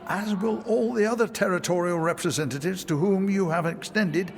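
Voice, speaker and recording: A courtly royal advisor, higher-pitched. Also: male voice. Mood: composed, deferential. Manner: formal, courteous, measured. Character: loyal, deferential royal advisor, composed and courtly. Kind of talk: audio drama acting.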